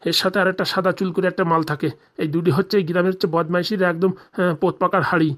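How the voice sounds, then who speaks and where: Someone doing shady village guy in a cartoon, gossipy, swears a lot, talks super fast. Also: medium pitch, flat delivery, close to an everyday voice. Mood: sly, gossipy. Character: sly, cursing village man scrounging drink money. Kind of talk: comedy cartoon dialogue.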